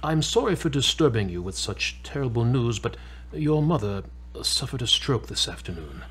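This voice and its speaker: A man's voice: mid-range voice, big pitch swings, moderate pace, a bit bright, breathy edge. Formal, apologetic man as a care home director. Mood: somber, awkward. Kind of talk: audio drama dialogue.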